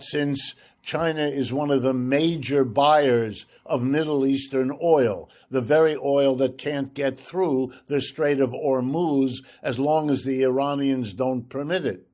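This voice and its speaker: Male interview guest, German is his first language, raised in the US, measured and explanatory with pointed stresses, mid pitch. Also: darkish tone. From United States. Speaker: guest expert. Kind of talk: radio interview answers.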